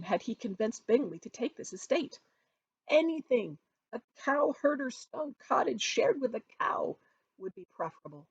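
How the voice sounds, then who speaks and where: A woman reading her novel aloud as expressive storybook narration, with dramatic, emphatic phrasing. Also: mid-range voice, breathy edge, no room sound, rough recording. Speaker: author reading from their own novel.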